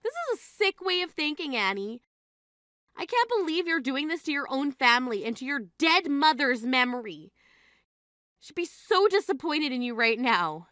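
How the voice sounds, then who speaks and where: A woman's voice: sits high, big pitch swings, moderate pace, fairly bright tone, no room sound, studio quality. A woman playing an exasperated, grieving sister who scolds in an incredulous, reproachful way in a dramatized story. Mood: appalled. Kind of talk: dramatized phone-call story dialogue.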